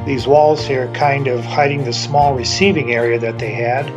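A man's voice: mid-range voice, steady tone, moderate pace, over music. A middle-aged man narrating his walk around an abandoned motel, chatty and enthusiastic, talking to a handheld camera outdoors in the snow. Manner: chatty, enthusiastic, with wandering asides. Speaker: urban explorer vlogger. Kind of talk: casual walking narration. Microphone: handheld camera at arm's length.

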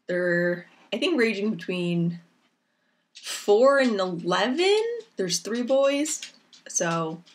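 A young woman hosting a knitting podcast from home, chatting casually to her laptop with lots of ums, her voice a little raspy from a lingering cough. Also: fairly low pitch. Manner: chatty and rambling, with many ums. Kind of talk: casual chat to camera. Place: small room at home, indoors. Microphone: laptop camera mic. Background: rain outside.

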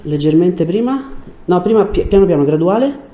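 A man in his thirties working as a chiropractor, giving short, calm instructions to a patient in a treatment room. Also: high voice, moderate pace, echoey room, muffled recording. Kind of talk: hands-on treatment talk with a patient.

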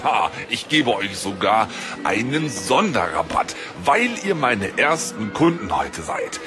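A man's voice: lowish voice, big pitch swings, slow, over music. A man playing an ingratiating market baker with eager sales patter in a German audio drama.

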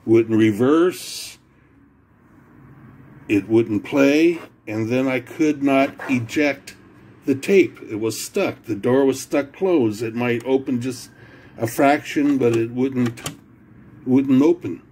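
A man talks through a home repair in a casual, halting way, pausing on fillers and repeating himself. His delivery is slow and unhurried at a mid pitch, picked up by a camera mic at his workbench. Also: a little mellow, slight background noise. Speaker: home repair video maker. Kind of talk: casual how-to explanation.

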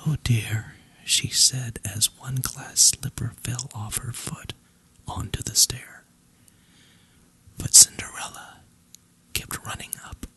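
Whispered fairy tale narration, breathy, bright hissy tone, very unhurried, deliberate pauses. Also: mid-range voice, little pitch movement, rough recording. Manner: slow, deliberate, with long pauses. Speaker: storyteller.

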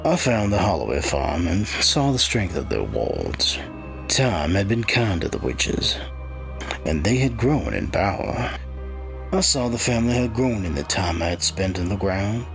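A man playing a patient, sinister undead wight who gloats as he narrates a dark fantasy audio drama in a gravelly, husky voice. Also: low voice, sing-song, measured pace, faint music behind, exaggerated voice. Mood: cold, scheming.

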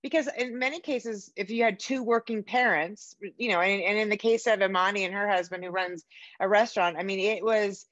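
A woman's voice: medium pitch, a little fast, fairly bright tone, no echo, lo-fi sound. A woman in her forties hosting a conversational author interview over a video call. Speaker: interviewer host. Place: home room with bookshelves, indoors.

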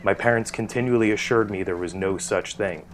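A man's voice: fairly low pitch, brisk, slight background noise, low-quality recording. A middle-aged man from New Jersey with an American accent, reading a scripted first-person narration for a horror docuseries. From New Jersey, United States. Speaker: author telling a personal essay. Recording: music bed behind the voice. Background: guitar music.

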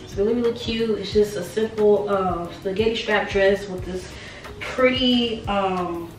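Young woman, bit raspy, chatty and repetitive, recorded from across an echoey bedroom. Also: mid-range voice, measured pace, soft background music. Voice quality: slightly raspy from a sore throat. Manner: chatty, repeats words for emphasis. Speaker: fashion vlogger. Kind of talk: casual try-on haul chat. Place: small bright bedroom. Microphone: camera mic a few steps away. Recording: roomy sound from a distant camera.

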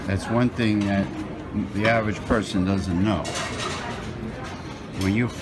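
A man's voice: on the low side, steady tone, a little slow. A man giving a wry, chatty running commentary while filming a buffet on a handheld phone. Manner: chatty, wry, talks to himself while picking food. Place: indoor buffet restaurant. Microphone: handheld phone camera.

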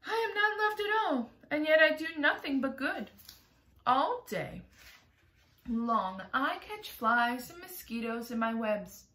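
A woman's voice: high-pitched, lively intonation, takes it slowly, sharp tone, reverberant, pitched above the performer's own voice, heavily acted. A woman voicing a mournful lady spider. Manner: sorrowful, sighing, aggrieved. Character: mournful genteel female spider, wronged and sighing.

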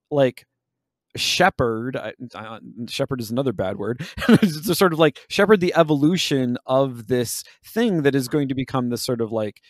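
A man's voice: mid-range voice, dry recording, studio quality. A man co-hosting a podcast, talking analytically and searching for his words with frequent self-corrections. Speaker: podcast co-host. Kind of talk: analytical discussion of a video game.

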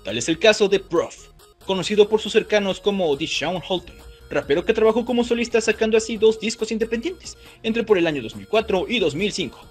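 A man hosting and narrating a scripted music trivia video in an expressive way. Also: high-pitched, fairly quick, husky. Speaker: video host.

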